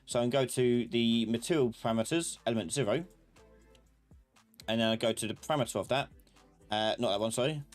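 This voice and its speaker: A man giving a live software tutorial as an instructor, informally thinking aloud and talking to himself as he works. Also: mid-range voice, fairly quick, long pauses, soft background music. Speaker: instructor of a live online class. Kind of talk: live software tutorial, explaining while working.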